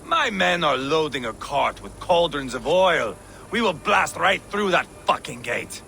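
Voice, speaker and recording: Ruthless Viking warlord, gravelly, wildly swooping pitch, boastful, vengeful, video game drama. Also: male voice, sing-song, measured pace, pushed and bright, slight background noise, heavily acted. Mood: eager for battle.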